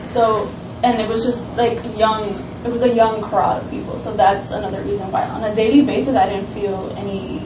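A woman's voice: mid-range voice, steady tone, moderate pace, background noise. Woman student panelist, from Honduras, casual and chatty; distant mic in an echoey room. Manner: chatty, frequent "like" fillers.

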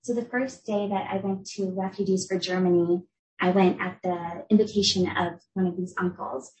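A young woman from the United States giving an academic talk as a researcher, explaining in a clear, measured way. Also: mid-range voice, steady tone, darkish tone, a little hiss or hum. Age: young adult. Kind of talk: academic lecture. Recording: echoey room, voice from a distance.

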